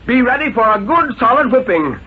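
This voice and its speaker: A man playing a stern, bullying father with a menacing, deliberate delivery in a vintage radio reading. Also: very high voice, big pitch swings, slightly rough, pitched above the performer's own voice, full character voice. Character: stern bullying father threatening a child with whipping. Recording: muffled vintage radio broadcast, music behind.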